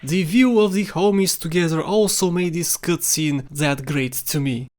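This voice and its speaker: A countdown narrator talks through video game scenes in scripted commentary. The voice sits at a middle pitch and presents personal opinions on each clip. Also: normal speed, a little mellow.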